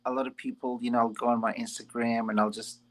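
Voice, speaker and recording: An animated male music teacher talking loosely in an interview, jumping into playful impressions of what people tell themselves. His voice sits at a middle pitch and his delivery is easygoing and encouraging. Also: quick pace. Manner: animated, jokey, quotes imagined people. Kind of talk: casual interview.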